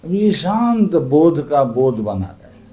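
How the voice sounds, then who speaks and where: A man leads a guided meditation as a teacher, speaking in a calm, deliberate, instructive manner. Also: a little high.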